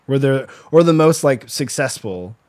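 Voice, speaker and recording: A chatty, excitable man hosting an interview, filling his talk with "like" and "um". His voice sits at a middle pitch and sounds dry and close, with no room echo. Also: a little slow. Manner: chatty, excitable, many fillers. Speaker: podcast host. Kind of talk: casual interview.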